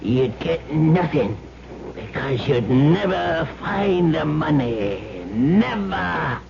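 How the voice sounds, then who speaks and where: Muffled vintage radio drama. Miserly old uncle, suspicious and snappish, high cracked creaky delivery. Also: male voice, very animated, very noisy, heavily acted. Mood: menacing, taunting. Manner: crotchety, drawn-out taunts and snappish muttering. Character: miserly, suspicious old uncle hoarding his money, taunting. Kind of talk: old-time radio mystery acting.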